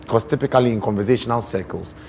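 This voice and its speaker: A Ghanaian man explaining things as a guest in a TV interview, expressive and deliberate, often posing rhetorical questions. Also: on the low side, creaky, a little hiss or hum. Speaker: guest poet and storyteller.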